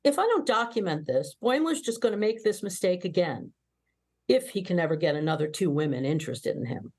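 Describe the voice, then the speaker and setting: A woman playing a mischievous, nosy young female starship officer with a brash, gleeful manner, reading an animated comedy script. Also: lowish voice, brisk. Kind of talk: table read of animated sci-fi comedy script.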